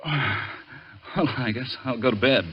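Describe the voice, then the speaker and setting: An actor playing a grave, authoritative doctor in a 1940s radio drama, speaking formally and deliberately. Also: male voice, medium pitch, natural voice. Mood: polite. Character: grave, measured male doctor, quietly authoritative. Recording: dull, noisy 1940s radio recording.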